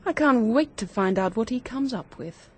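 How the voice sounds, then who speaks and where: Young amateur detective, high lively voice, assertive, knowing, radio play. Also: female voice, normal speed. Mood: knowing, eager. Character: brisk, resourceful young woman amateur sleuth, confident and bossy. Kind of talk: 1940s-style British radio drama acting.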